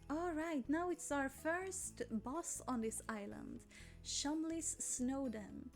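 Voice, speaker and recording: A woman's voice: high voice, takes it slowly. A young Swedish woman with a Swedish accent, chatting and rambling over a game she plays, often apologizing and squealing over cute things. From Sweden. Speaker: gaming YouTuber. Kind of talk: casual let's play commentary. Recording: faint game music behind.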